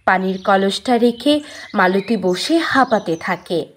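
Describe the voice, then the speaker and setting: Unhurried woman storyteller. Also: medium pitch, expressive, slight background noise. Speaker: story narrator. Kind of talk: scripted storybook narration for a cartoon.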